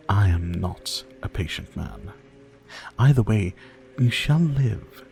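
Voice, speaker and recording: A man playing a centuries-old, sadistic vampire lord in a scripted ASMR roleplay, speaking close in a silky, theatrical, taunting way that often drops to breathy whispers. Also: medium pitch, a little mellow. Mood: commanding, possessive. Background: faint music.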